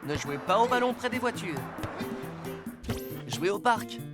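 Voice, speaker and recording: A woman's voice: medium pitch, lively intonation, measured pace, over loud music, natural voice. A woman voicing a kind, sensible talking rescue car in a children's cartoon, instructive and caring. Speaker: safety teacher. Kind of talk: French dubbed children's cartoon acting.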